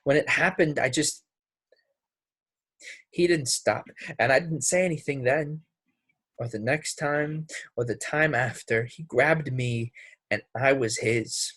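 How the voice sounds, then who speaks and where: A man playing a wounded, anguished young man confessing in halting fragments in an amateur play readthrough. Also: mid-range voice, fairly bright tone, husky, dry recording. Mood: haunted, halting.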